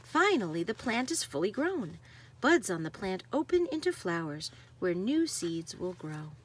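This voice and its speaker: A woman's voice: mid-range voice, very animated, unhurried, slight background noise. A woman reading a nonfiction picture book aloud to children like a teacher, stressing key words.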